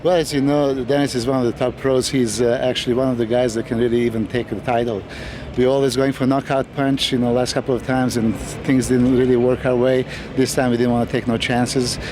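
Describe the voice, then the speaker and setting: A man who coaches a bodybuilder, speaking about his athlete's preparation in a steady, matter-of-fact way during a backstage interview. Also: mid-range voice, a little fast, few pauses, background noise.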